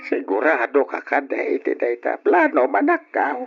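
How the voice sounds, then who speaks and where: A man's voice: medium pitch, huge pitch swings, a little slow, gravelly, soft background music, full character voice. A man playing a cautious older father in an old radio drama, questioning and advising as he talks. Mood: doubtful. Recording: dull, lo-fi old radio recording.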